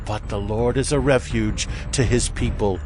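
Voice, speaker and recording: An actor playing a solemn Hebrew prophet in a dramatized scripture reading, declaiming with grave emphasis. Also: male voice, lowish voice, steady tone, moderate pace, close to an everyday voice. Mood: urgent, commanding. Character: solemn male Hebrew prophet proclaiming judgment and promise. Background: music.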